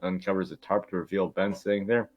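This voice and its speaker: A man's voice: low voice, level tone, brisk. A man reading out scripted narration as an amateur table read narrator, informally and stumbling over the script.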